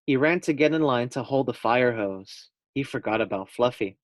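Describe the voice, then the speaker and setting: Male teacher reading a picture book to small children: medium pitch, expressive, engages listeners directly, dry sound. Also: normal speed, no echo. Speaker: teacher reading a storybook aloud. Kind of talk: children's read-aloud with questions to pupils.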